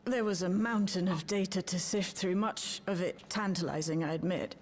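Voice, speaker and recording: An actress playing a composed, methodical woman researcher who speaks in measured, formal game dialogue. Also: close to an everyday voice. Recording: noisy game audio with low rumble.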